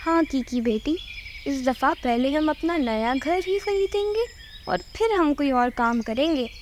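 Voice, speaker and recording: A woman's voice: high voice, expressive, a little slow, a little mellow, slight background noise. A woman playing an honest, humble mother sparrow who speaks plainly and politely in a children's cartoon. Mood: hopeful, kindly. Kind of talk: Hindi cartoon dubbing.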